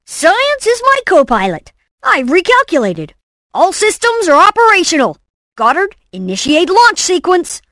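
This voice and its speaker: A woman voicing a brainy, cocky, excitable boy inventor in cartoon game clips, with a theatrical, punchy delivery. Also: very high voice, a little slow, no echo, clean studio sound, full character voice. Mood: confident. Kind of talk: animated game voice clips, short exclamations and catchphrases.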